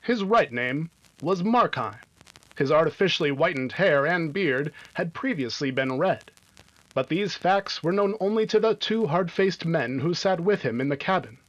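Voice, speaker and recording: A slightly muffled, lo-fi recording of a man narrating a radio adventure. His voice sits a little high for a man, in the scripted manner of old-time radio storytelling. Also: expressive, moderate pace.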